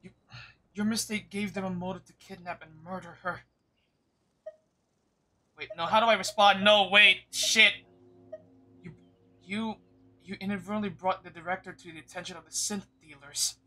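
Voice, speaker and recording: A man streaming a game, giving casual live reactions in an excitable, blunt, sweary way. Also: high voice, normal speed, pauses often.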